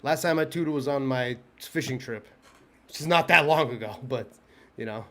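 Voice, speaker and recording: A man in casual podcast banter, teasing and swearing freely as he talks fast over the other speaker. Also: medium pitch. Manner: profane, animated teasing. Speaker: podcast guest.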